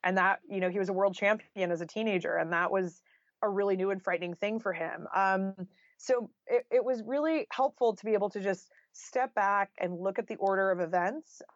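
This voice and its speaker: A woman's voice: mid-range voice, fairly quick, dry recording. A woman with a mid-range voice talks as a guest writer in an interview. She explains things in an informal, conversational way, dropping in "like" and "you know". The sound is dry and a little lo-fi. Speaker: guest author and journalist.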